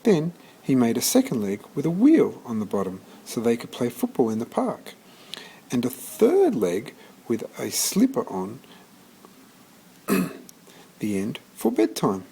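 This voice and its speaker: A man reading a children's story aloud in an expressive storytelling manner, occasionally stumbling and restarting. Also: mid-range voice, a little slow, gravelly, pauses often, husky, low-quality recording. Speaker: storybook reader.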